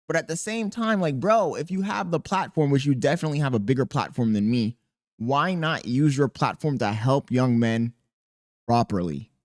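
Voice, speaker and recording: A man with a squeaky voice talking casually and profanely to camera as a content creator, rambling and animated. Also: normal speed, no echo, studio quality. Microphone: podcast dynamic mic.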